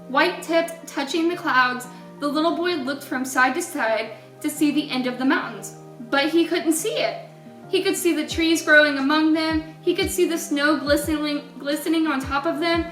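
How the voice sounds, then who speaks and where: A woman reading a children's story aloud in an expressive storytelling style, with deliberate, emphatic wording. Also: sits high, reverberant, distant mic.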